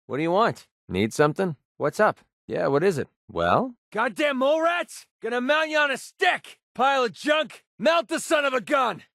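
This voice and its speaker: A man's voice: extremely high pitch, vocal fry, a bit bright, no room sound, clean studio sound, exaggerated voice. A man voicing a cocky, sardonic young mercenary gunman in clipped video game companion lines. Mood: curt, then aggressive, urgent.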